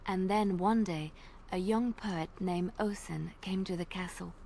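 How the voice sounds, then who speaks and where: A woman telling an old legend in character during a tabletop roleplay, measured and dramatic, at times dropping to a hush. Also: fairly low pitch, vocal fry, a little hiss or hum.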